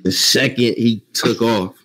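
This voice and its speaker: A man's voice: medium pitch, fairly even intonation, a little slow, fairly bright tone. A man giving battle rap commentary in casual, slangy chat, repeating his points for emphasis.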